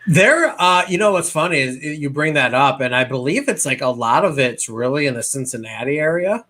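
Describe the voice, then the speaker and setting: A man co-hosting a casual podcast, rambling along in a slangy, halting way. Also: fairly high pitch, animated, sharp tone. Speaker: podcast co-host. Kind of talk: casual chat.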